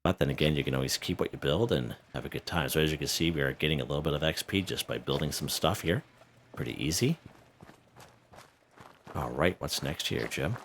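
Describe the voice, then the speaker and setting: A man with an extremely deep, low voice talks through a game guide in an easygoing, chatty way, his throat a little hoarse. He keeps checking in with the viewer, and there is a faint hum of noise behind him. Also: expressive, a little fast. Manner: chatty, checks in with "okay?" and "right?". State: slightly hoarse. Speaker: gaming video host. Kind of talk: casual game walkthrough.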